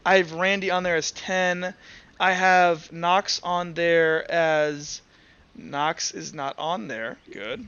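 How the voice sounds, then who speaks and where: A man's voice: fairly high pitch, slow pace, fairly bright tone, clear, resonant tone, muffled recording. A man acting as game master, casually running an online tabletop game and thinking aloud as he goes.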